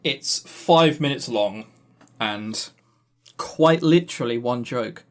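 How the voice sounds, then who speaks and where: A man's voice: medium pitch, takes it slowly. A man co-hosting a podcast in casual review chat, dryly sarcastic and often repeating words as he starts a sentence. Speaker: podcast co-host.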